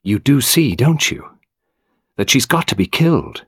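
A man's voice: lowish voice, animated, fairly quick, slightly rough, dry recording, studio quality. A man voicing a bitter young man in an audiobook, intense and nervous beneath a firm, matter-of-fact manner. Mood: urgent, nervous. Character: tense, desperate, bitter young man plotting murder.

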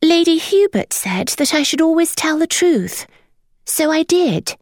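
A woman's voice: sits high, sing-song, measured pace, a little mellow, no room sound, studio quality, voice pushed up. A woman voicing a cheerful, mischievous eight-year-old boy who speaks matter-of-factly. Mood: fidgety. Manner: chirpy and matter-of-fact.

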